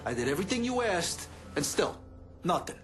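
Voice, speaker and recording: An actor playing a resentful, hot-headed older racketeer in a video game, indignant and profane. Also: male voice, high voice, very animated, brisk, over music. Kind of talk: dramatic video game dialogue.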